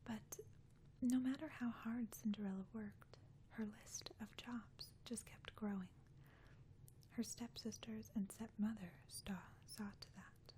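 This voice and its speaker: A woman whispering softly and breathily in a gentle, soothing ASMR style, as a caring friend reading a bedtime story. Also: a little high, fairly even intonation, slow pace.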